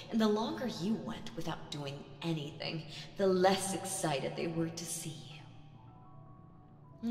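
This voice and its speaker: A woman voicing a proud, mocking demon queen in an ASMR audio roleplay, theatrical and condescending with dramatic pauses. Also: lowish voice. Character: proud, mocking female demon queen, vengeful, regal villain. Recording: roomy with long reverb.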